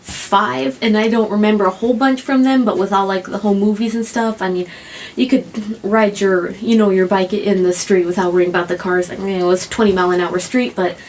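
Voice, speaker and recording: A woman's voice: mid-range voice, fairly even intonation, fairly quick, darkish tone, slight background noise, rough recording. An American woman co-hosting a podcast, chatting casually in a hesitant, meandering way. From small-town United States. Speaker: podcast co-host. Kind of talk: casual chat, reminiscing.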